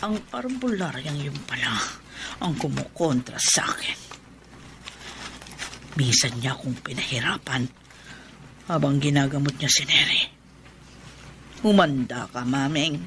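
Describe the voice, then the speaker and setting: An actor playing a vengeful male sorcerer in a radio drama, muttering threats in a low, menacing way. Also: animated, measured pace, long pauses, noisy background.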